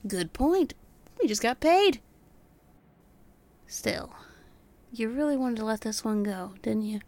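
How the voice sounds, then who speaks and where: A woman playing a theatrical young man who assists a private eye in an audio drama. The voice sits fairly high and lands every line with wry, teasing sarcasm. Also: animated, slow pace, a little mellow. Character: wisecracking young male detective's assistant, theatrical. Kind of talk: noir audio drama acting.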